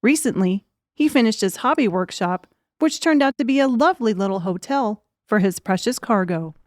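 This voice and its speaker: A woman's voice: on the high side, animated, normal speed, no echo, studio quality. A woman reading scripted narration as the narrator of an audiobook.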